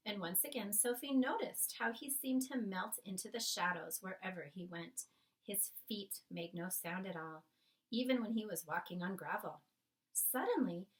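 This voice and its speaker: A woman reading a children's book aloud expressively, with careful, dramatic phrasing and pauses. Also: medium pitch, fairly bright tone, recorded from a distance, low-quality recording. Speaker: storybook reader.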